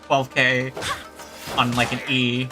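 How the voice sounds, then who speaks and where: A man giving casual live commentary as a game streamer, reacting with drawn-out interjections. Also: mid-range voice, completely flat delivery. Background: game music.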